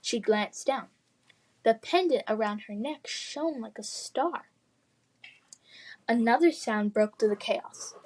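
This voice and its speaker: A woman reading children's fantasy fiction aloud in expressive, scripted narration. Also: a little high, slow pace, pauses often, rough recording. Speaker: storybook reader.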